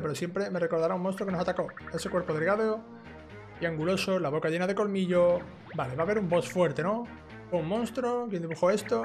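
High-pitched Spanish man doing game commentary. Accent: Spain. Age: young adult. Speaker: gaming YouTuber. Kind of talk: casual let's play commentary, reading game dialogue aloud. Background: video game music.

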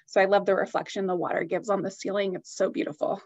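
A woman's voice: medium pitch, flat delivery, fast, a little mellow, muffled recording. A woman giving an upbeat, enthusiastic product presentation on a video call.

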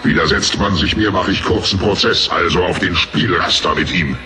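A man's voice: deep voice, normal speed. A man voicing a cold, tyrannical master computer program in a German radio play, imperious and threatening. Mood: cold, commanding. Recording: radio play over loud music.

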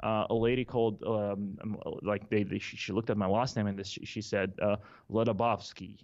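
Russian-accented man, halting and low. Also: little pitch movement, a little mellow, dull audio. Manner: halting, many fillers and restarts. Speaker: guest. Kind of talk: casual interview talk. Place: office conference room with windows. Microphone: studio mic on a boom, headphones.

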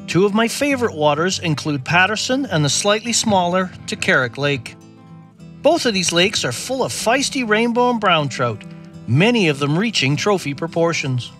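A man's voice: high-pitched, a bit bright. A man reading a scripted, upbeat promotional voice-over for an outdoor show. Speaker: show narrator.

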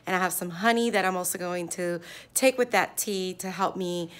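A woman in her thirties, a fourth grade teacher, chatting upbeat into a phone held at arm's length in her classroom, her voice a little hoarse. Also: lowish voice, moderate pace, a bit bright. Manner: chatty, upbeat, lots of "like" and "yeah". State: slightly hoarse, losing her voice. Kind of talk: casual vlog chat. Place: classroom, indoors.

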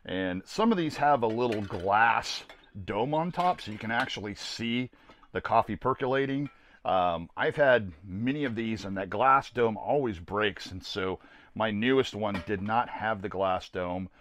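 Low-voiced older American man, casual reviewer. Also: measured pace, fairly bright tone, slight background noise. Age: older, around 60s. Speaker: host of a camping gear video series. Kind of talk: casual product review to camera. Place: small camper trailer interior.

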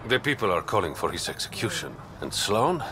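An actor playing a suave, roguish young smuggler in a video game, smooth, sly and teasing. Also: male voice, lowish voice, sing-song, a little fast, background noise. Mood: sly, measured. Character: suave, roguish adult male smuggler and informant. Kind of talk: video game dialogue acting.

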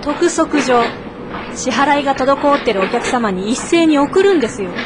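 High-voiced, scolding office woman. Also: lively intonation, normal speed, noisy background. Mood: stern, businesslike. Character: brisk, scolding, conscientious female office colleague. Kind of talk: naturalistic radio drama acting.

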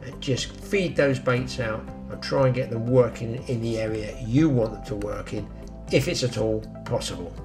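An older man talks through fishing tips in a practical, chatty way, addressing viewers directly. He is recorded outdoors by a pond on a camera mic, sometimes from a little further off. Music plays clearly underneath. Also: mid-range voice, moderate pace. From England. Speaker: fishing show host. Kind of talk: instructional outdoor fishing talk. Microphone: camera mic, some lines from further off.

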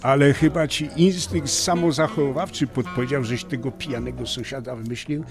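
An actor playing a frank, teasing friend with a colloquial, wry manner in an audio drama. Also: male voice, medium pitch, fairly even intonation, normal speed, gravelly, over music, lightly acted. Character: blunt, teasing male friend of the groom's circle.

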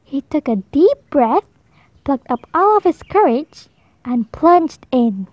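Slow, lively woman reading a storybook. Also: dry recording, dull audio. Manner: slow, expressive, high voices for animal lines. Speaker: storybook reader. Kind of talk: children's read-aloud. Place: indoors at a table, book held to camera.

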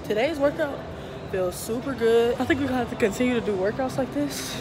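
A young woman in a casual, chatty vlog at the gym, talking in a relaxed, colloquial way. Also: a little high, fairly quick, noisy background. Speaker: fitness vlogger. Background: music.

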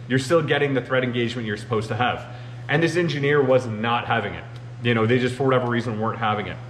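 A man's voice: medium pitch, fairly bright tone. A man in his thirties explains shop matters in a loose, conversational way, dropping "you know" often. He speaks straight to a camera across a large machine shop. The sound is roomy with a faint hum underneath. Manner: conversational, uses many "you know" fillers. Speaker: machinist show host. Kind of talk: casual explanatory talk to camera.

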